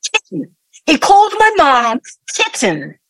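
A woman plays a hostile elder daughter in a radio play. She is blunt and scornfully sarcastic, with a high voice that swings widely, recorded close and dry. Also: huge pitch swings, unhurried, creaky, dry recording, heavily acted. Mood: indignant. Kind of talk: audio play acting.

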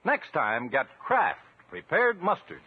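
A man's voice: on the high side, huge pitch swings, slow pace, loud music behind the voice. A man reading a scripted 1950s radio commercial as an announcer. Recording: tinny vintage 1950s radio recording.

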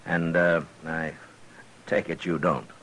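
An actor playing a weary, dry, terse US marshal in a 1950s radio western. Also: male voice, low-pitched, little pitch movement, takes it slowly, fairly bright tone, rough recording. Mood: weary, reluctant. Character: weary, dry, world-worn US marshal of frontier Dodge City. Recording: old radio recording with background noise.

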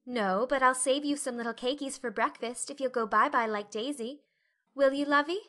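A woman's voice: high voice, normal speed, fairly bright tone, voice pushed up. A woman voicing an affectionate, coaxing young wife and mother for a storybook reading.

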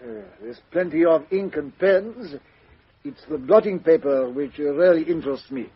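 Fastidious sleuth, hesitant, slightly high, 1950s radio play, dull lo-fi. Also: male voice, breathy edge. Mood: musing. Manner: hesitant, musing, stammering repeats. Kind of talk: 1950s radio drama acting. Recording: dull lo-fi old radio recording.

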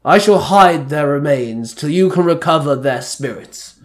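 A man voicing a grave, commanding ancient spirit god in a story reading, with slow, portentous delivery. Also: fairly high pitch, lively intonation. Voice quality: bellowing. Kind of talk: amateur fan-fiction story reading.

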